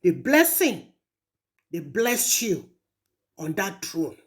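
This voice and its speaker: Slow, animated Nigerian woman, stern and admonishing. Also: medium pitch. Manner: stern, deliberate, rhetorical repetition. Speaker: speaker addressing a traditional ruler in a recorded message. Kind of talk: admonishing recorded audio message.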